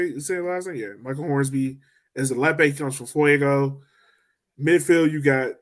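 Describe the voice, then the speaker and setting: A man hosting a casual sports podcast, upbeat and loose, laughing easily with his co-hosts. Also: fairly high pitch. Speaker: podcast host.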